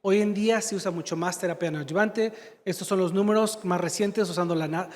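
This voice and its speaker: A man from Mexico with a slight Mexican accent and a high voice gives a medical conference talk. He searches for words now and then and fills gaps with "este". A long reverb from a large hall carries his voice. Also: fairly quick. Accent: slight Mexican Spanish. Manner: fluent but searching for Spanish words, fillers like "este". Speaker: conference speaker, surgeon.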